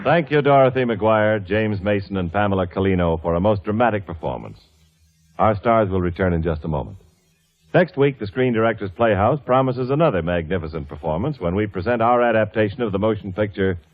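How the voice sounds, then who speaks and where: A man working as a formal, measured announcer, reading scripted copy in 1950s radio style. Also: lowish voice, animated. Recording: tinny vintage radio broadcast. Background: music.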